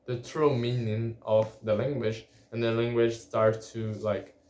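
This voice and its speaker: A man whose first language is Portuguese, talking casually in a solo vlog about learning a language, halting and restarting often. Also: medium pitch, level tone, darkish tone, a little hiss or hum. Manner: halting, many restarts and fillers. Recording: echoey room.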